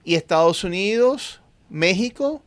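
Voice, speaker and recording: A man's voice: sits high, expressive, unhurried, a little hiss or hum. A middle-aged man with a Venezuelan accent, a doctor talking as a guest in an interview, emphatic and repeating phrases for stress. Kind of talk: conversational interview.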